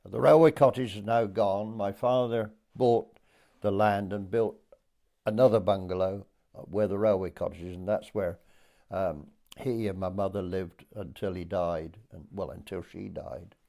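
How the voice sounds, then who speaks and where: An Englishman in his eighties from Dorset, recalling his childhood for an oral history interview in a hesitant storytelling way with pauses. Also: sits low, steady tone, vocal fry, warm tone. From Dorset, England. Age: 80s.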